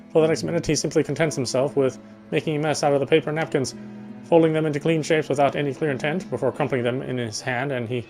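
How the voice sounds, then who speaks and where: A man's voice: mid-range voice, a little mellow. A man reading first-person visual novel text aloud as narration, stumbling now and then over words.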